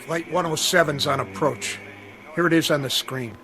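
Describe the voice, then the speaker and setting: A man's voice: fairly high pitch, moderate pace, over music, natural voice, poor audio. A man playing an alert air traffic controller in a television drama, giving terse, clipped reports. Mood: urgent.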